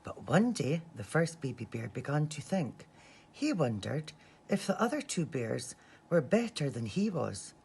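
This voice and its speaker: A woman reading a bedtime story to young children in a gentle, sing-song, expressive way. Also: low voice, unhurried, vocal fry, breathy edge. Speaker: storybook reader from a school staff team.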